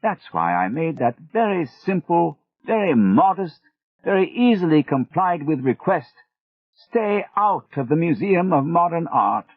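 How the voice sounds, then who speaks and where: A pompous, wounded older artist with a British accent, as a male narrator puts him on, speaking slow and emphatic, pitched well above the narrator's low voice. The sound is tinny and phone-like, with a dry, close feel. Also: very animated, no echo, exaggerated voice. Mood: aggrieved.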